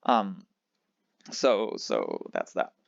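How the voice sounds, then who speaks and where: A man's voice: low voice, wildly swooping pitch, drawn-out words, creaky, no room sound, muffled recording. A young man from near Geneva who switches between French and English, casually rambling through a livestream chat with lots of restarts and fillers. He speaks French with a Geneva Swiss accent. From France near Switzerland. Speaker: livestream host.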